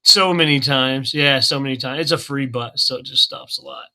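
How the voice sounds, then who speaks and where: A man from Oklahoma chatting casually on a group call, telling animated stories and laughing often. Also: medium pitch, normal speed, creaky. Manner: animated storyteller, laughs often, acts out quotes. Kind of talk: casual chat.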